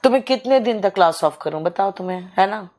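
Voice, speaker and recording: A woman teaching English in a live online class, hoarse for days, clearing her throat and calling her students "beta" in a motherly, coaxing way. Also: mid-range voice, expressive, a little fast, a little mellow.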